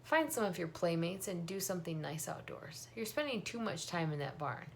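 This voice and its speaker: A woman's voice: fairly low pitch, expressive, moderate pace, gravelly, slight background noise. A woman reading the part of a worried, practical farm mother in a storybook, fretful and questioning. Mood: concerned.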